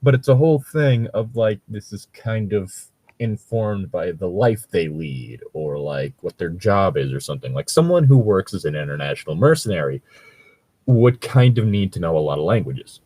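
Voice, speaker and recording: A man's voice: low voice, animated, normal speed, dark tone, husky, dry recording. A man co-hosting a casual commentary chat, talking in a hesitant, filler-heavy and casually sweary way. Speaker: podcast co-host.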